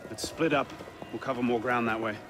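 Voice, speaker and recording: A man's voice: medium pitch, steady tone, fairly quick, over music, natural voice. An actor playing an earnest, defiant young man, the hero in a video game cutscene. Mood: guarded.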